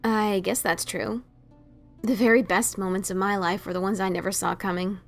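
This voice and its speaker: A woman's voice: medium pitch, steady tone, normal speed, gravelly, faint music behind, close to an everyday voice. A woman voicing a cocky, wry female pegasus adventurer-author in a fan audio drama. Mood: wry, confident.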